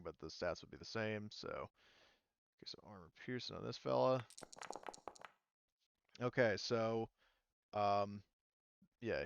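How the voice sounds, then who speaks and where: A man running a tabletop roleplaying game as game master, talking casually and haltingly as he thinks aloud. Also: on the low side, gravelly, clear, resonant tone, no echo, dull audio. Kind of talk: running a tabletop roleplaying game, improvised narration and rules talk.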